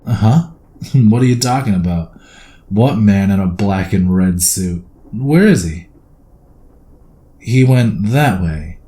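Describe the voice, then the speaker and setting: Casting: man as courteous train attendant, soft mellow tone, fairly low pitch, ASMR roleplay. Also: lively intonation, slow, pauses often. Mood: baffled. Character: polite, puzzled male train attendant offering refreshments.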